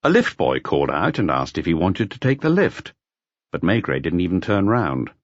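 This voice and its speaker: Low-voiced, expressive male audiobook narrator. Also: moderate pace, no room sound, muffled recording. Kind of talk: scripted mystery novel narration.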